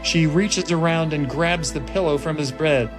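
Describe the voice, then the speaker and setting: Male narrator, slightly high pitch, dramatic screenplay reading, stage directions, prominent background music. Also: normal speed. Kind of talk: dramatic scripted narration at a table read. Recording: music laid clearly behind the voice. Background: music and sound effects.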